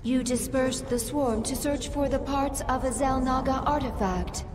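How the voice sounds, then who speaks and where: A calm alien advisor. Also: female voice, high-pitched, a little slow, noisy background. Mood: reporting. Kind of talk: video game cutscene voice acting.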